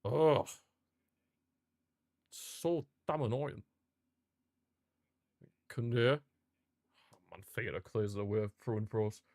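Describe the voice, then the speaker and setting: Guy streaming a footy watchalong, mid pitch, dry sound, gets worked up and rants at the game. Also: takes it slowly, pauses often, darkish tone, no room sound. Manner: passionate, frustrated fan outbursts and rants; talks to live chat viewers. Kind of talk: live match reaction commentary.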